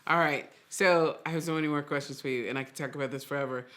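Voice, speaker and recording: A woman's voice: low voice, fairly even intonation, quick pace, fairly bright tone. A woman hosting a podcast interview in a casual, chatty way, thinking aloud and wandering into tangents. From Trinidad and Costa Rica. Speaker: podcast host.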